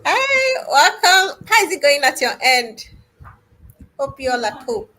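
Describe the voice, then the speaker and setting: Bright-voiced woman hosting online. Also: high voice, very animated, a little slow. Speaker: host of an online panel. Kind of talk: casual hosting of a video call session. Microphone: video call. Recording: noisy video call.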